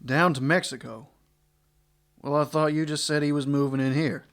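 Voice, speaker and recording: An actor playing a well-mannered small-town marshal in a western radio drama, wry, plain-spoken and wary. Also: male voice, fairly high pitch, fairly even intonation, moderate pace, fairly bright tone.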